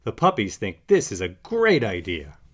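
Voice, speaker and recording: A man narrating a children's picture book in an animated read-aloud style. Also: fairly low pitch, normal speed. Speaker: storybook narrator.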